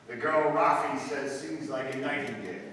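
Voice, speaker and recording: An actor playing a shrewd, courteous English detective in a 1940s radio drama, with a British accent and crisp theatrical delivery. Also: male voice, medium pitch, fairly even intonation, moderate pace, fairly bright tone, close to an everyday voice. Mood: assured, urbane. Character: shrewd, courteous English male detective, sometimes posing as obsequious guide. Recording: very echoey, distant old radio recording, faint noise.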